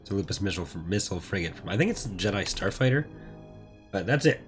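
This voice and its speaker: Low-voiced man, recovering voice, gaming commentary. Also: expressive, fast, soft background music. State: recovering from voice loss. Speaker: host of a gaming playthrough series. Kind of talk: casual gameplay commentary.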